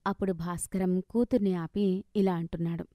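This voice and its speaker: A woman's voice: fairly low pitch, fairly even intonation, moderate pace, warm tone, no echo. A woman narrator reading a scripted moral story.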